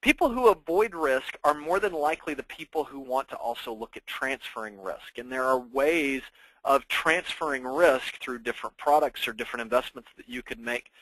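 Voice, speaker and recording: A man's voice: medium pitch, normal speed, sharp tone, no room sound, rough recording. A man presenting to investors as a financial planner, explaining things informally and conversationally with everyday examples.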